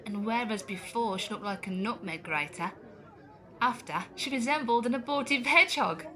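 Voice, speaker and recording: A woman's voice: on the high side, lively intonation, moderate pace, sharp tone. A woman playing a sardonic, morbid tavern philosopher, declaiming in a Jacobean audio drama. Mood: mocking. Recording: busy crowd chatter behind.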